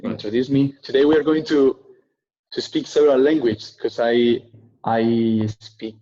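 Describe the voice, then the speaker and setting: A man's voice: medium pitch, measured pace, warm tone, lo-fi sound. A young man with a Spanish accent, a native Spanish speaker, reading an academic presentation in hesitant, stumbling English. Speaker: doctoral researcher giving a talk. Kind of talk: academic presentation read from a prepared text.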